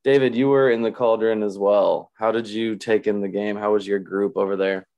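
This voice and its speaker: A man hosting a casual fan podcast, his voice slightly raspy after a day of yelling, talking in an animated, rambling, self-mocking way. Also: on the low side, moderate pace. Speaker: podcast host.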